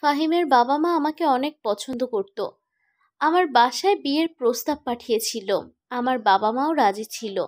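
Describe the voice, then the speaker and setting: A woman plays a wounded but stubborn young woman in a cartoon romance. The voice is high-pitched. The delivery is insistent and emotional. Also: normal speed, natural voice. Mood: hurt, resolute. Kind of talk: animated romantic drama dialogue.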